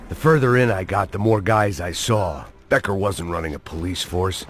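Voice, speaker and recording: A man playing a weary, cynical middle-aged action hero in a video game, narrating in a deadpan, hard-boiled noir manner with an American accent. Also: deep voice, normal speed, slight background noise, natural voice. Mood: grim, determined.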